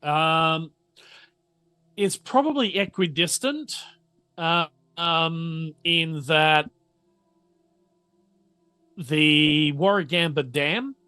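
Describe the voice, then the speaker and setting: A man's voice: fairly high pitch, drawn-out words, long pauses, sharp tone, clean tone, no echo, faint music behind. An Australian man running a tabletop game casually, hesitantly piecing his narration together as game master.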